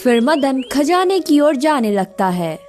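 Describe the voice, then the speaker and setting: A woman storyteller with a fairly high voice reads a scripted children's tale. Background music plays clearly under the narration. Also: big pitch swings, a little slow, darkish tone.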